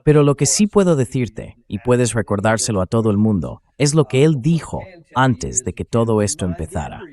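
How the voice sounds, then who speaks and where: A man hosting a political commentary show, explaining his points in a measured, emphatic way. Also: medium pitch, very animated, mellow. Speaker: show host. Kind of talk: political commentary to camera, translated read-aloud.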